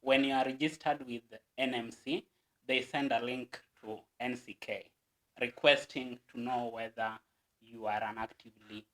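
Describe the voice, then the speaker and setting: A man's voice: medium pitch, level tone, normal speed, fairly bright tone, poor audio. A Kenyan man, a guest, explaining a process earnestly and at length, with stammered restarts.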